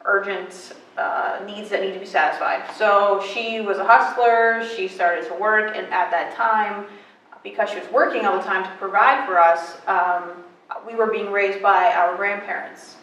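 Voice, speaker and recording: A woman's voice: medium pitch, moderate pace. Chatty woman in her twenties, echoey room. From western Pennsylvania. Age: 20s. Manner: chatty, animated storytelling with many fillers. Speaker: guest. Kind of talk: casual interview. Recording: echoey room, mic at a distance.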